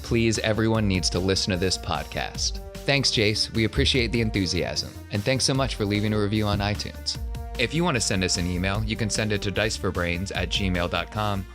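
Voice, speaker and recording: A man's voice: on the low side, brisk, fairly bright tone, soft background music. A man hosting a tabletop roleplaying podcast as game master, in an encouraging, conversational way.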